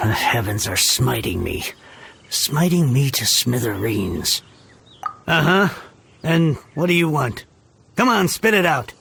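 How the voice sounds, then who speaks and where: An actor playing a grouchy, dramatic older book collector in a video game, husky and theatrical. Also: male voice, mid-range voice, sing-song, slow pace, background noise, full character voice. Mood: exasperated ranting. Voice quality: husky, creaky. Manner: theatrical, blustering, exasperated. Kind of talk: English video game voice acting.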